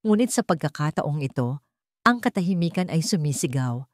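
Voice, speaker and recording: Woman with a Filipino accent, dramatic romance audiobook narrator, low voice, dry close mic. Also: lively intonation, moderate pace, no echo. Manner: emotional, dramatic reading. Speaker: storyteller.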